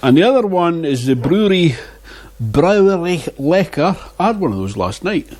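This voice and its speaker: A man's voice: on the high side, very animated, moderate pace, darkish tone. A man reviewing beer in a casual vlog, chatting and muttering asides to himself on a lapel mic. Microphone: wireless lapel mic.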